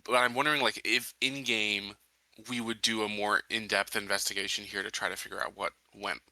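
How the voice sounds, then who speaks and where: A man's voice: on the low side, little pitch movement, brisk, sharp tone, dry recording, low-quality recording. A man in casual discussion as a tabletop roleplaying player, reasoning aloud and checking for agreement.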